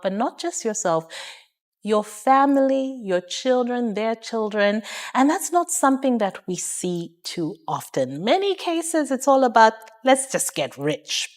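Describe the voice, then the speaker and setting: A woman, hosting a conversational interview show. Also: medium pitch. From Uganda.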